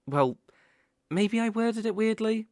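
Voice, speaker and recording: A British man playing a sly, possessive young male kitsune fox spirit in an ASMR roleplay, soft-spoken and coaxing with playful hesitations. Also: sits high, expressive, slow pace, dry recording, studio quality. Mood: coaxing, explanatory. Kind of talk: ASMR roleplay, acted directly to the listener. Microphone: close microphone, dry.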